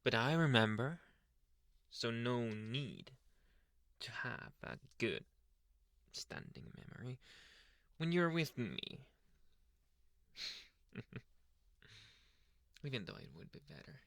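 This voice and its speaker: A young male werewolf, acted out by a man, murmurs close and dry in an ASMR roleplay. He is slow and gravelly, slipping into whispers, tender with a possessive menace underneath. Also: medium pitch, big pitch swings, a bit bright, dry recording, heavily acted. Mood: coaxing, possessive. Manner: intimate, halting, breathy murmurs drifting into whispers. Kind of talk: ASMR roleplay addressed to the listener.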